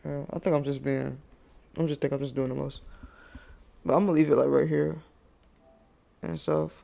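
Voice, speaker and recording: A man's voice: medium pitch, moderate pace, creaky, highs cut off. A man hosting a casual online chat, talking loosely in slang while checking his mic level. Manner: relaxed slangy, self-conscious laughs. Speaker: podcast host. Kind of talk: casual chat testing audio. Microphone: headset mic close to face.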